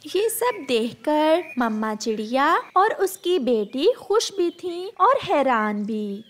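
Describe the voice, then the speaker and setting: A woman narrating a scripted children's story in a measured storytelling manner. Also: high-pitched, sing-song.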